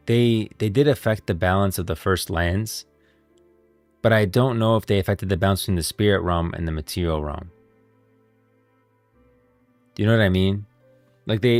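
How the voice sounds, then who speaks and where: Male streamer, deep register, informal rambling commentary, dry close sound. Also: no room sound. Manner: rambling, informal, thinking aloud. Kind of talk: casual livestream lore commentary.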